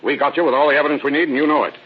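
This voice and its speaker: An actor playing a cool American federal agent posing as a buyer, brisk, confident and slangy, in an old radio drama. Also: male voice, on the high side, fairly even intonation, breathy edge. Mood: hard, commanding. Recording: muffled old radio recording with hiss.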